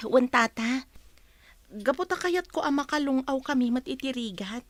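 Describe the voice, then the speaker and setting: A woman plays a dutiful young Filipino daughter in a radio drama. Her voice is fairly high and sympathetic, recorded very dry. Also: expressive, moderate pace, dry recording. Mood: earnest, concerned. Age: young adult. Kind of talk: Ilocano radio drama acting.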